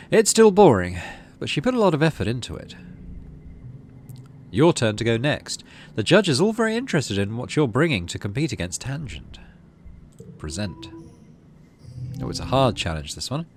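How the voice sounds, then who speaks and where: Expressive man playing and narrating a game. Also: mid-range voice, normal speed, slight background noise. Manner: narrates story text, murmurs to self while deciding. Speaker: gaming channel host. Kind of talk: casual let's play commentary, reading game text aloud.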